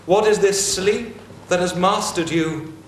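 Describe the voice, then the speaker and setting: Casting: man as a mourning ancient king, slow solemn lament, raised voice, echoey hall. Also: high voice. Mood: grieving. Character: grieving king mourning his dead friend. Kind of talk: staged verse reading. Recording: echoey hall, faint noise.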